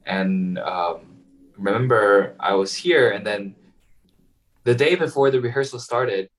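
Hesitant young man, somewhat low. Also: measured pace, recorded from a distance, rough recording. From Taiwan. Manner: hesitant, many ums and restarts. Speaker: violinist guest. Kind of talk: casual interview answers.

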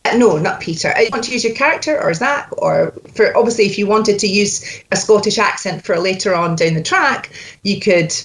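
A woman's voice: mid-range voice, fairly quick, a little hiss or hum. A woman working as a dialect coach, explaining her method step by step in a cheerful tone over a video call. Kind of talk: coaching session.

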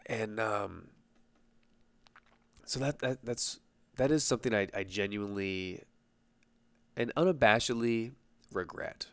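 A man in his thirties hosting a podcast, telling a personal story with a stuffy nose and a tiring voice, halting with restarts and fillers. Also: on the low side, a bit bright, no room sound. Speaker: podcast host.

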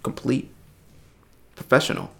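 A man's voice: a little slow, fairly bright tone. A man in his thirties chatting casually as a podcast co-host, reflective and rambling, with many fillers. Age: 30s. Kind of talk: casual conversation.

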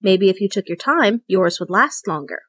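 A woman's voice: mid-range voice, expressive, moderate pace, a bit bright, husky, dry recording, clean studio sound. A woman reading a short story aloud as scripted narration. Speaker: story reader.

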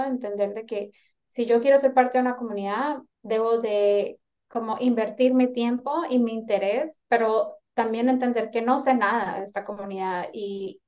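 This is a Colombian woman in her thirties with a fairly high voice, chatting as an interview guest. She thinks aloud as she goes, earnest and a little rambling as she feels her way through her points. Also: darkish tone. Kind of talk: casual interview chat.

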